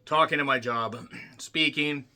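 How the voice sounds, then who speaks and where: A man with a slightly rough, worn voice delivers a film review in an unscripted monologue. He talks bluntly and forcefully, swears freely and keeps clearing his throat. His tone is sharp and bright. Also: on the high side, a little slow. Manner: blunt, profane ranting with frequent throat clearing. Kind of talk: casual spoken movie review.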